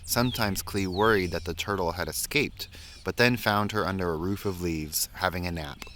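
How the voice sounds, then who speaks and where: A man with a low voice reads a picture book for children. His delivery is measured and expressive, with pauses between phrases. Some background noise sits behind the reading. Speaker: story reader.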